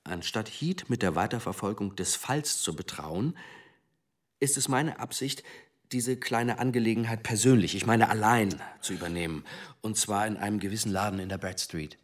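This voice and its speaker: An actor playing a measured, deferential but determined senior police commissioner in a German radio drama, husky-voiced and formally courteous. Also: male voice, lowish voice, natural voice. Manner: formal, courteous, careful phrasing.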